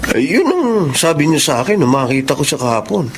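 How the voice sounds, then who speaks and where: An actor playing a hesitant, gossipy friend in a radio drama, speaking in a halting, earnest way. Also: male voice, a little high, very animated, loud music behind the voice. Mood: urgent. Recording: very noisy radio drama recording.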